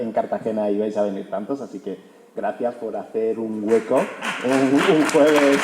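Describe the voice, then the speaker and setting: A man's voice: medium pitch, a little hiss or hum. Fluent young man from Spain, echoey hall. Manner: fluent, peppers speech with "¿no?". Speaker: author presenting his book. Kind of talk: public book talk. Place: auditorium hall.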